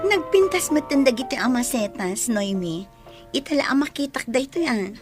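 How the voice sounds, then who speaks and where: A woman's voice: high-pitched, expressive, normal speed, over music. A woman playing a friendly, admiring neighbour in an Ilocano radio drama. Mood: admiring, cheerful.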